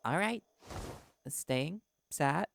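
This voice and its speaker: A man's voice: fairly high pitch, expressive, very slow, creaky, fairly bright tone, dry recording, clean studio sound, heavily acted. A man playing a stubborn, wary male reporter held captive in an audio drama, speaking in a hesitant yet defiant way. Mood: groggy, wary. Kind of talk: audio roleplay drama acting.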